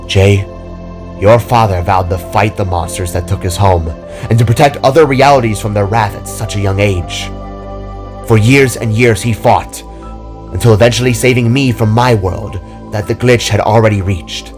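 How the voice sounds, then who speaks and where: Casting: man reading as wise kitsune guardian, protective and solemn, lowish register, storytelling over music. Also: normal speed, lightly acted. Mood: solemn, explanatory.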